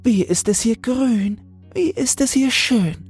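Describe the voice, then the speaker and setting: A man plays a tiny female toad in a storybook tale, pitched very high above a natural male voice. The toad is naive and earnest, full of wishful wonder, with lilting exclamations and a husky edge, over faint music. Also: lively intonation, slow, full character voice. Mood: eager wonder. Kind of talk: fairy tale audiobook character voice.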